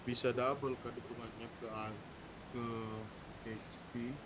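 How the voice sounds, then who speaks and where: A man's voice: flat delivery, unhurried. Frank man reasoning on noisy voice chat. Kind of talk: casual in-game roleplay group discussion. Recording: clearly noisy game voice chat, low rumble.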